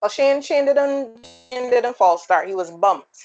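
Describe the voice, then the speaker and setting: A woman on a sports panel, telling stories in a lively way and laughing often. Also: a little high, a little slow, highs cut off, soft background music. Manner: animated storyteller, laughs often. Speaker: panel guest. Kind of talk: casual sports panel chat.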